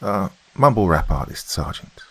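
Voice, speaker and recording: A man's voice: sits low, big pitch swings, moderate pace, husky, no echo, full character voice. A man voicing an eager young British lance corporal with a cheeky, teasing manner in an audiobook. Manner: playful, teasing, feigning shock.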